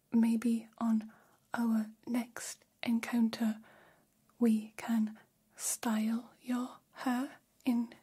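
A woman voicing a gentle, curious humanoid alien in whispered ASMR roleplay, speaking in soothing, stilted, formal phrases. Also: on the high side, exaggerated voice. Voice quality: breathy whisper.

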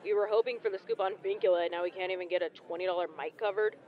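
A woman's voice: fairly low pitch, steady tone, very fast, dull audio, natural voice. A woman playing an exasperated, cynical member of a covert crew in a sci-fi audio drama.

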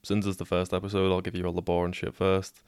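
Scouse podcast host in his twenties, deadpan monotone, crisp dry close sound. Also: low voice, fast, no echo. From Liverpool, England. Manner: monotone, sweary, trips over words. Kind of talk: casual solo podcast storytelling.

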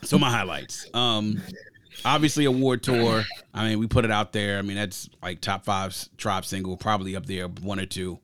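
A man's voice: fairly low pitch, steady tone, moderate pace, fairly bright tone, clear, resonant tone. A man hosting a music podcast, giving casual, opinionated album commentary in an emphatic, slangy way. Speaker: podcast host reviewing music.

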